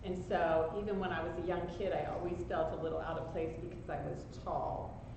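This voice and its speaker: Woman, slightly low voice, reflective, candid, echoey room, background noise. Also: steady tone, a little fast, vocal fry. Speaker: community theater actor. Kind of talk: casual cast chat.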